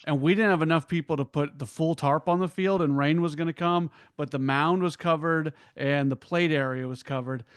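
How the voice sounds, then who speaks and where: Clear, higher-voiced man telling an anecdote. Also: fairly even intonation, moderate pace, few pauses, no echo, clean studio sound. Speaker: podcast guest, broadcaster.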